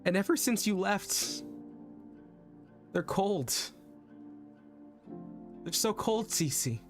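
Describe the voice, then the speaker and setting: A man voicing a lovestruck undead patchwork monster in an earnest, halting, pleading theatrical monologue. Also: high-pitched, animated, faint music behind, exaggerated voice. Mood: anguished. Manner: halting, earnest dramatic monologue with repeated phrases.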